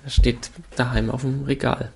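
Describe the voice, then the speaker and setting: A man's voice: medium pitch, little pitch movement, normal speed, gravelly, a little mellow, slight background noise. A man with a slight cold, co-hosting a casual chat and teasing his partner. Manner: teasing, joking, laughs often. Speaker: podcast co-host. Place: indoor room with a camera.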